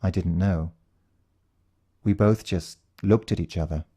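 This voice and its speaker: British male narrator, low and very mellow, for suspenseful first-person fiction. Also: unhurried, husky. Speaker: audiobook narrator.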